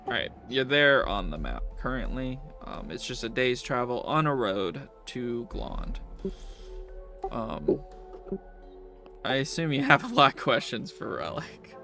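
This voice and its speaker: A man runs a tabletop game in a casual storytelling style. His middle-pitched voice carries a lot of fry and gravel. Music plays softly behind him. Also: expressive, unhurried. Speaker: game master. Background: background music.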